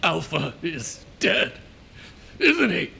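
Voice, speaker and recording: A man's voice: fairly high pitch, wildly swooping pitch, extremely slow, pushed and bright, full character voice. An actor playing a huge blubbering male crybaby who wails and sobs in a video game cutscene. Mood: sobbing. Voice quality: wailing, creaky, sobbing.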